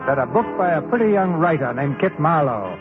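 A man with an American accent giving formal, measured scripted narration as host of a 1940s radio theater. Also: a little high, lively intonation, over loud music. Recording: muffled, noisy old radio recording.

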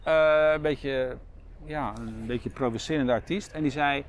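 A man's voice: medium pitch, a little slow. Dutch man chatting, noisy background. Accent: Netherlands Dutch. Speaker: podcast co-host. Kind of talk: casual chat.

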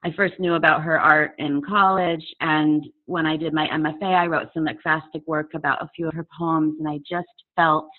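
A woman's voice: sits low, level tone. Tinny-sounding woman in her forties, reflective. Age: 40s. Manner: candid, reflective, halting with fillers. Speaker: poet and author guest. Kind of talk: video call interview. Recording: tinny phone-like audio over a video call.

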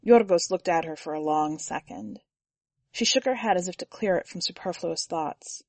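A woman podcast editor reading a short story aloud in a measured, even storytelling manner on a close studio mic. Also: on the low side, no echo. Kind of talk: fiction audiobook narration.

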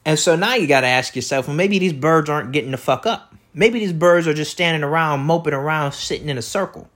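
A man with a loud, projecting voice rambling through a comedic solo monologue as a podcast host, repeating himself and tossing out rhetorical questions. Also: on the high side, fairly quick. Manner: repetitive, riffing with catchphrases and rhetorical questions. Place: indoor room beside an open window.

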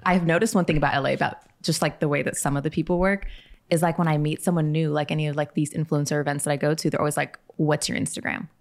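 A young American woman with a low-pitched voice talks very quickly in casual conversation. She leans on "like" as a filler and slips into quoted snippets of dialogue as she explains things. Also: steady tone. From Maine and Texas, United States. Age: young adult. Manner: heavy use of "like", quotes imagined dialogue. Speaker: podcast guest. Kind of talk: casual chat.

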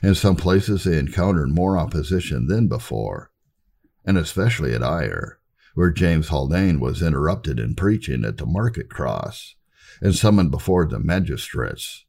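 A man narrating a scripted history audiobook in a formal, measured, oratorical way. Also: very deep voice, expressive, gravelly, darkish tone. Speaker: narrator reading church history.

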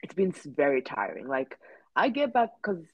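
A woman's voice: low voice, sing-song, moderate pace, husky, dry recording, muffled recording. A woman in her twenties from Rwanda, co-hosting a casual podcast chat and rambling with many fillers and restarts. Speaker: podcast co-host.

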